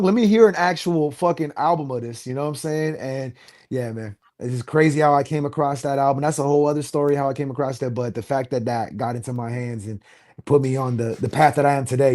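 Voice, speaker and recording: A man with a Los Angeles accent, a rapper guest talking casually in an interview, full of street slang and quick restarts. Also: fairly high pitch, a little mellow. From USA.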